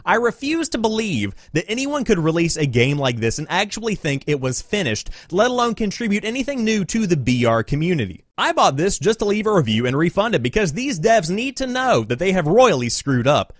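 A man's voice: sits high, very animated, a little fast, few pauses, no room sound, studio quality. A man reading written reviews aloud in an emphatic, dramatic comic style. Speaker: video presenter reading user reviews.

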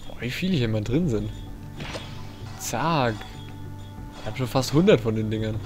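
A young man giving casual, excitable video game commentary, talking to himself with playful exclamations. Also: medium pitch, moderate pace, over music. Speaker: let's play host.

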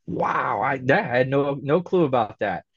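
A man's voice: mid-range voice, moderate pace, breathy edge, no room sound, lo-fi sound. A man in his forties hosting a podcast interview over a video call, upbeat and chatty. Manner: upbeat, chatty, animated when promoting. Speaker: podcast host. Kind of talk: casual interview. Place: indoor room with a virtual backdrop. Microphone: webcam video call.

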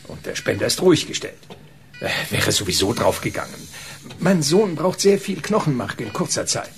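A man's voice: mid-range voice, big pitch swings, normal speed, vocal fry, soft background music. An actor playing a desperate, self-justifying, cowardly father in a radio drama, agitated and evasive, stammering as he talks. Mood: evasive, defensive.

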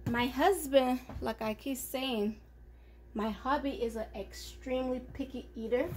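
An upbeat, chatty woman talks her viewers through a home cooking session. Her voice sits a little high, and she is picked up by a phone camera aimed at the stove. A faint hum from the kitchen sits underneath. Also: a bit bright. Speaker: cooking vlogger. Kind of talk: casual cooking chat.

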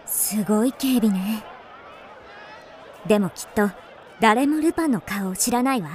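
Actress as slow-spoken young lady passenger. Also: mid-range voice, animated, a little mellow, a little hiss or hum, natural voice. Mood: curious, coy. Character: curious, coolly fascinated young lady passenger. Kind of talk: radio drama acting.

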